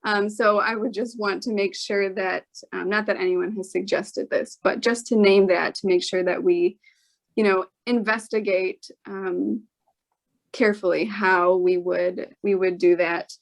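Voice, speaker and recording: A woman with a medium-pitched voice gives a candidate's answer at a forum. She speaks unscripted and carefully, hedging and pausing to reword her points. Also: fairly even intonation, creaky. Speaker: political candidate.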